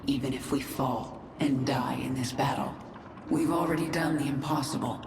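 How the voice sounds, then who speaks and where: A woman's voice: a bass voice, fairly even intonation, normal speed, creaky, noisy background. Echoing, commanding alien swarm queen. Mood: resolute, reflective. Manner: cold, resolute, commanding. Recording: echoey, distant, under loud game music.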